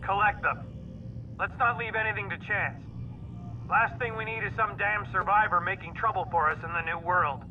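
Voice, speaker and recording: A man's voice: high-pitched, normal speed, close to an everyday voice. A man playing a cold, ruthless boss in a sci-fi video game, giving curt commands. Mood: cold, commanding. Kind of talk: video game cutscene acting. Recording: distant, thin phone-like sound, music.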